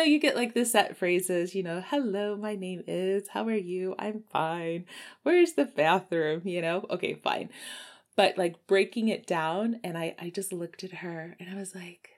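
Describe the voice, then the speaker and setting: A woman travel vlogger from Texas, likely in her thirties, answering viewer questions in a casual, chatty way and laughing often. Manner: chatty, laughs often, lively swings in pitch. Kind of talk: casual chat.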